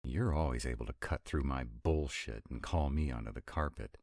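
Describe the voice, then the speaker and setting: A man's voice: very deep voice, moderate pace, darkish tone, no room sound, clean studio sound. A man playing an irritable, sarcastic vampire with a rebellious streak in an intimate, conversational roleplay audio drama. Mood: wry, confiding. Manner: sarcastic, conversational, drawling asides. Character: sarcastic male vampire, irritable, wry, rebellious council member. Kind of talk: intimate one-sided roleplay audio acting.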